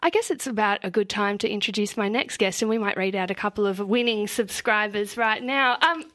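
A woman's voice: medium pitch, a little fast, a bit bright. A woman hosting a live community radio show from the studio, bubbly and effusive, giggling as she chats with her guest. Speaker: radio host. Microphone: studio microphone.

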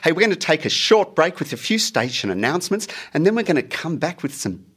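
A man's voice: a little high, big pitch swings, a little fast, a bit bright, husky. A man hosting a radio health show, chatty and joking, stumbling over names as he hands over to guests.

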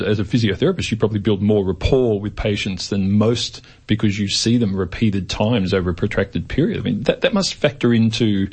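A man's voice: lowish voice, husky. A man hosting a radio interview, framing his questions hesitantly and often restarting words. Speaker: radio host.

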